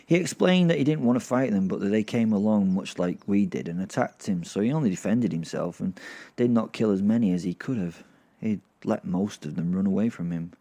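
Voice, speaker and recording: A man's voice: fairly low pitch, a little fast, dark tone. A man telling a story as podcast host, in an informal, rambling way. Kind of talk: first-person dramatic fantasy narration.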